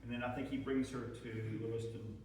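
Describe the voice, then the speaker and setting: A man's voice: medium pitch, little pitch movement, a little fast, a little mellow. Guy giving an informal history talk, thinking it through, super echoey room, mic far off. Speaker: presenter at a local history talk. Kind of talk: informal talk and discussion with audience. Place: indoor hall with an audience. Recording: very echoey room, distant mic, faint noise.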